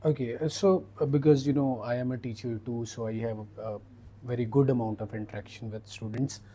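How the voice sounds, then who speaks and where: Very mellow male interviewer. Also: mid-range voice, steady tone, a little fast. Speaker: interviewer, education consultant and teacher. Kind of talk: conversational interview.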